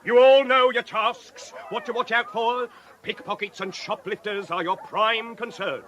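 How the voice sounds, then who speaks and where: A man's voice: high voice, steady tone, unhurried, fairly bright tone. An actor playing a pompous, anxious department store manager who addresses his staff like troops, in a British radio comedy. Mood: officious. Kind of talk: British comic radio acting.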